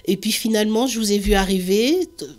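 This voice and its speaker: A woman's voice: medium pitch, brisk, darkish tone. A woman from the Antilles talking in a reserved, hesitant way, often repeating "voilà" and "oui" as an interviewee. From French Antilles. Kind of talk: casual conversation.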